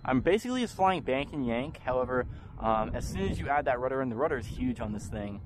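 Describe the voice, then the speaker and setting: A man's voice: mid-range voice, fast talker, background noise. A young man hosting a hobby channel, talking casually with frequent ums while flying a model plane in an open park. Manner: relaxed, chatty, frequent ums. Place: open park field outdoors.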